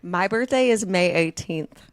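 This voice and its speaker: A woman's voice: fairly low pitch, unhurried, no echo, clean studio sound. A woman in her early thirties co-hosting a podcast, telling personal stories in a casual, self-deprecating way. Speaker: podcast co-host. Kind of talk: casual chat, personal storytelling.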